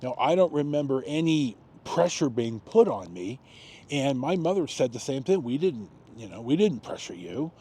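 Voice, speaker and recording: A man in his sixties with an American accent, telling personal stories casually, in a halting, rambling way with frequent pauses and repetitions. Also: mid-range voice, very animated, dark tone, breathy edge. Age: 60s. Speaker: storytelling vlogger.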